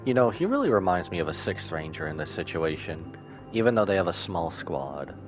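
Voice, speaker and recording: A deep-voiced man streams a game, reacting informally in slangy chat. His intonation is lively, with faint music behind him. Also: brisk, low-quality recording. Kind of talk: casual live reaction commentary.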